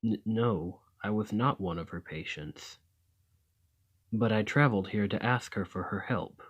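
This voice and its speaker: A man acts out a weary, gallant vampire gentleman from an audio roleplay, centuries old and desperate for a cure. His voice sits fairly low and moves very slowly. He phrases everything with formal, old-world politeness. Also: breathy edge. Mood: polite, regretful. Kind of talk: scripted ASMR roleplay acting.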